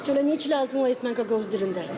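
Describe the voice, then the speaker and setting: A woman at an event asking quick questions. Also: fairly high pitch. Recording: loud music and crowd behind.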